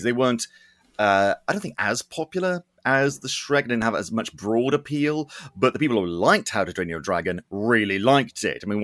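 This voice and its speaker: A man's voice: medium pitch, fairly bright tone. Wry, opinionated male podcast host. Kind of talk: casual comedic entertainment news chat.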